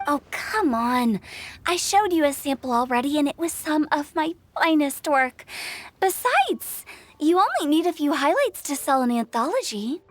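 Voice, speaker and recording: A very high, girlish game voice for a bubbly young female director. She is cheeky and theatrical, pushing her case with boastful confidence. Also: big pitch swings, normal speed. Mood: boastful, persuasive. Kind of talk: video game voice acting.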